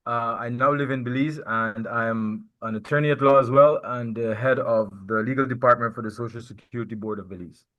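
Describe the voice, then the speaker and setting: A man's voice: mid-range voice, fairly even intonation, brisk, few pauses. Man, from Guyana, attorney, formal, lo-fi call audio. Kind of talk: self-introduction. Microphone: video call.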